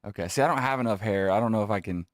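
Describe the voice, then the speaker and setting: A man's voice: on the low side, racing, no echo. A man in his late thirties co-hosting a radio chat, teasing the others playfully. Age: 30s. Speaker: radio co-host. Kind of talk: casual studio chat. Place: radio studio.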